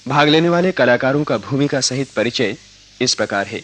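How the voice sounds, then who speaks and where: Formal radio announcer guy, mid pitch, reads scripted stuff slow and deliberate. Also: animated, fairly bright tone.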